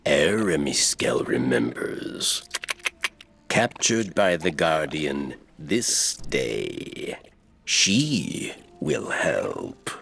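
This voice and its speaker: An actor playing an old alien counselor in a video game, loyal and reassuring, speaking in clipped phrases with a guttural rasp. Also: male voice, a bass voice, very animated, very slow, a bit bright, exaggerated voice. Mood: solemn, reassuring. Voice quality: raspy, guttural growl.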